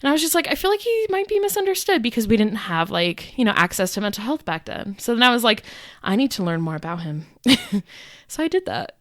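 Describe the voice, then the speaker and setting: A young adult woman co-hosting a podcast in casual chat. She talks loudly, laughs often and fills her talk with "like" and "um". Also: a little high, big pitch swings, brisk, dry recording, studio quality. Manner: loud, laughs often, chatty with fillers. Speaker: podcast co-host. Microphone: podcast microphone.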